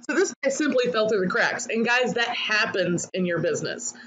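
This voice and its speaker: A woman's voice: a little high, expressive, moderate pace, a bit bright, poor audio. A woman coaching online marketers in a webinar, chatty and candid as she reads out attendees' comments. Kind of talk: webinar teaching.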